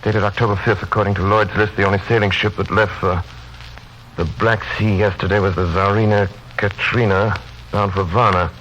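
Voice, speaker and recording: An actor voices a sober man delivering a report in an old radio play. The lowish male voice is measured, formal and dramatic. The vintage recording is muffled with faint hiss. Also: fairly bright tone, lightly acted. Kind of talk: 1930s radio drama narration.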